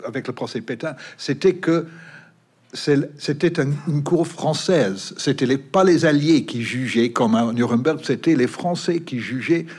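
Middle-aged British man, native English speaker, historian guest, hesitant and restarting, mid pitch. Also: measured pace, darkish tone, slightly rough. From Britain. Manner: hesitant, frequent restarts and fillers. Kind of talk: interview.

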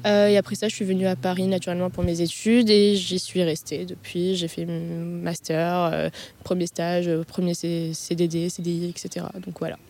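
A woman's voice: on the low side, flat delivery, brisk, a little mellow. A woman in her mid-twenties from Lebanon, chatting as a guest in a casual interview, hesitant and full of fillers, holding a handheld mic outdoors in a park. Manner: hesitant, many fillers and restarts.

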